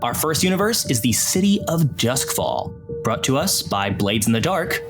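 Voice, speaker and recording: A man's voice: medium pitch, big pitch swings, normal speed. Man narrating a tabletop game over music. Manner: clear expository storytelling. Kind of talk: recap narration and game mastering. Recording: music bed under voice.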